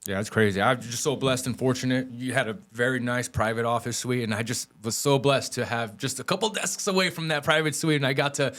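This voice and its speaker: A man's voice: mid-range voice, fairly quick, hardly any pauses, a bit bright. A man hosting a podcast interview, telling a story in a rambling, hesitant way with many fillers. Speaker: podcast host.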